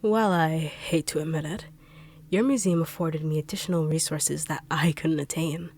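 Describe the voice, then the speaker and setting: A woman voicing a smug, cruel female treasure hunter who taunts in slow, purring tones with whispered asides. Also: low voice, steady tone, darkish tone. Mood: taunting. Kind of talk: audio roleplay drama.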